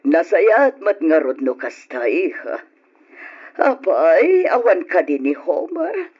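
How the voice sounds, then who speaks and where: Low, husky, fretful older mother. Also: big pitch swings, takes it slowly, heavily acted. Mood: concerned. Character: older mother, concerned and disapproving. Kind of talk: old radio drama acting in Ilocano. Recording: dull, lo-fi radio drama recording.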